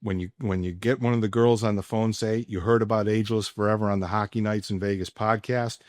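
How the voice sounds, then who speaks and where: A man hosting a casual sports podcast, speaking emphatically with deliberate pauses. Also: lowish voice, fairly even intonation, no echo, studio quality. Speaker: podcast host. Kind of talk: casual sports podcast talk and personal ad read.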